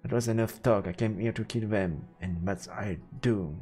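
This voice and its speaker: A man's voice: lowish voice, normal speed, mellow, soft background music. A man doing a let's play as a streamer, reading game text aloud and reacting to it.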